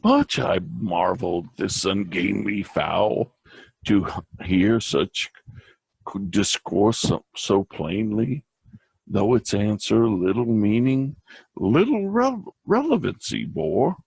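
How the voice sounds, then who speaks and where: Slow, gravelly man reciting poetry. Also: fairly low pitch, animated, a little mellow, no echo. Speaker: reader.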